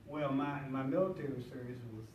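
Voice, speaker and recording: A man's voice: mid-range voice, steady tone, brisk, dull audio. A man in his seventies, a veteran on a panel, giving short plain answers. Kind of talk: panel discussion. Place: panel room with audience. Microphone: phone live stream across the room. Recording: echoey room, far from mic, noisy.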